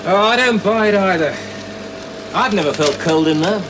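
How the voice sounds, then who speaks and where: A man's voice: high voice, lively intonation, moderate pace. An actor playing a skinny young male technician who talks in a casual, offhand, wry way, in a 1970s television drama. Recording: hissy old TV soundtrack.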